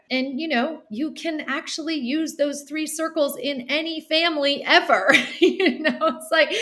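A woman's voice: high voice, a bit bright. A woman in her forties, a podcast guest speaking animatedly, laughing often and acting out little quotes. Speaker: guest, nonprofit founder. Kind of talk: podcast interview.

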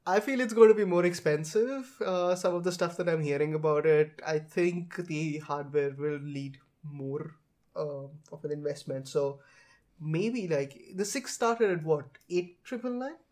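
A man's voice: fairly high pitch, unhurried, darkish tone. A man, co-hosting a casual tech podcast in a wry, joking manner. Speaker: podcast co-host.

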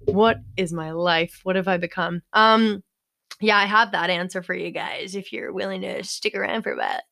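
A woman's voice: mid-range voice, steady tone, normal speed, vocal fry, a bit bright, no echo, clean studio sound. A young woman co-hosting a podcast, telling casual comedic stories in a giggly, sweary, rambling way with frequent self-corrections. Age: young adult. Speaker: podcast co-host. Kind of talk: casual comedic storytelling chat.

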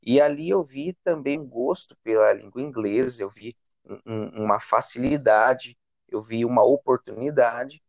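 A man with a Brazilian accent from São Paulo state, explaining things conversationally as an interview guest, often halting and repeating words. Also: mid-range voice, gravelly, dry recording. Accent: Brazilian Portuguese, São Paulo state. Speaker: English teacher and university student, interview guest.

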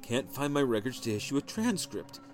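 A man voicing a suspicious, volatile husband who talks in a forceful, accusing way. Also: on the high side, animated, fairly quick, faint music behind. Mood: subdued.

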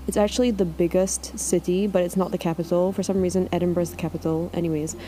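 A young woman from Scotland co-hosting a casual podcast, chatty with lots of fillers and quick asides. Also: lowish voice, little pitch movement, over a noisy crowd. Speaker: podcast co-host. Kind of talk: casual chat. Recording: faint laptop fan noise.

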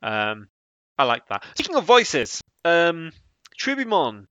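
A man chatting casually as a podcast co-host, talking in a halting, rambling way and often trailing off. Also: on the high side, wildly swooping pitch, fairly bright tone, clean tone, no echo, muffled recording. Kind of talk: casual chat about anime voice acting.

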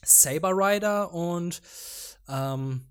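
A man's voice: sits high, extremely slow, clear, resonant tone. A young man whose first language is Vietnamese, co-hosting a podcast and recalling things in a meandering, hesitant way. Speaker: podcast co-host. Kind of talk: casual chat.

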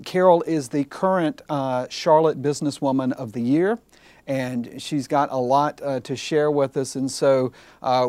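A man hosting a university lecture series, giving the introduction and interviewing the guest with relaxed pauses and many "uh"s. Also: mid-range voice, no echo. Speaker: university host and moderator. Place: university lecture hall with audience.

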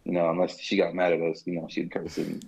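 A man talking casually about family and language, relaxed and swearing now and then. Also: deep voice, quick pace, vocal fry, rough recording.

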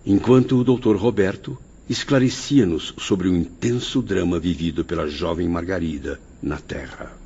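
A man's voice: sits low, normal speed, hardly any pauses, mellow, a little hiss or hum. A man giving solemn, literary narration for a radio drama. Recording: dull recording, faint music behind.

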